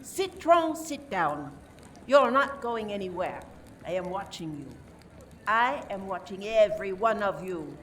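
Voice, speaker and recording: A woman playing a suspicious French police inspector in an amateur radio play, with a dramatic, deliberate, accusatory delivery. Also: mid-range voice, animated, fairly bright tone, distant mic, slight background noise. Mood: stern, dramatic.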